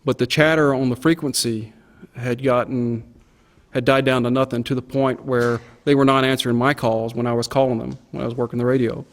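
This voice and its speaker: A man's voice: medium pitch, level tone, fairly quick. A middle-aged man, a pilot, recounting an experience informally in a plain-spoken, vivid way. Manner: plain-spoken, vivid, acts out quoted radio calls. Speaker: airline pilot giving a recollection. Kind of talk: informal spoken recollection of an event.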